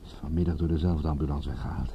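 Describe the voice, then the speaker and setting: An actor playing a brooding, blunt, dogged private detective in a radio drama, with a slightly husky voice. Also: male voice, a bass voice, fairly even intonation, fast, dark tone, dull audio, a little hiss or hum. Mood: gloomy, restless.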